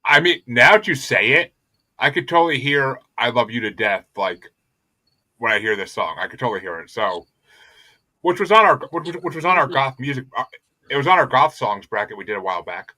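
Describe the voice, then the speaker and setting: A man's voice: mid-range voice, animated, brisk, bright, forceful tone, dry recording. A man hosting a casual music podcast, speaking in an emphatic, repetitive, opinionated way with plenty of swearing. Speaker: podcast host and panelist.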